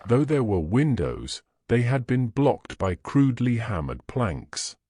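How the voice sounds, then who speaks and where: A man's voice: medium pitch, big pitch swings, unhurried, warm tone, no echo, studio quality. A man narrating a scripted fantasy story as its storyteller.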